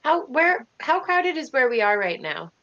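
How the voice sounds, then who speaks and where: A woman playing in an online tabletop game, pitching ideas with a questioning lilt. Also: sing-song, measured pace, a bit bright. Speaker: player. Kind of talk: casual tabletop game chat. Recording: dull online call audio.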